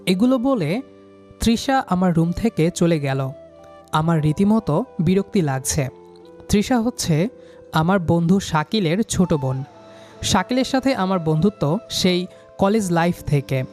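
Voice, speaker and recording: A man's voice: high-pitched, expressive, unhurried, pauses often, a little mellow, soft background music. A young man reading a scripted romantic story in the first person, voicing the lead character's lines.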